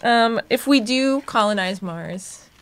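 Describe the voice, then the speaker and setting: Woman with very lively, swinging intonation. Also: fairly high pitch, slow pace. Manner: playful, joking, self-deprecating. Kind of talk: casual playful chat.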